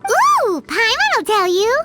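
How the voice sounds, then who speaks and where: Squeaky, very high-pitched little fairy sidekick, bouncy delivery, cheeky and naive, game cutscene with music behind. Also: female voice, wildly swooping pitch, takes it slowly, exaggerated voice. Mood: excited, eager. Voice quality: squeaky, childlike. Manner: bubbly, exclaiming, speaks of herself in third person. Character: tiny excitable floating fairy girl companion, cheeky and naive. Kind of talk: video game cutscene acting.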